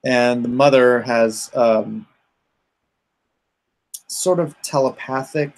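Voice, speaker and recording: A man in a casual unscripted chat, explaining his creative project in a halting way as he searches for words. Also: mid-range voice, rough recording.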